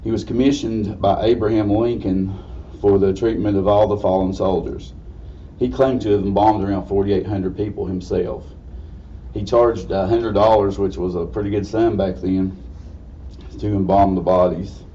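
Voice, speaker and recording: Low raspy man, distant and muffled. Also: fairly even intonation, moderate pace, dark tone. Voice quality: slightly raspy. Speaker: guest lecturer. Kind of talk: informal history lecture. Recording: distant mic, dull muffled sound, faint noise.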